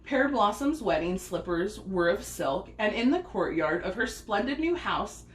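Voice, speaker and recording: A woman reading a picture book aloud as a story time host, expressive and speaking to young listeners. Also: medium pitch, a little slow. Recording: echoey room with faint background noise.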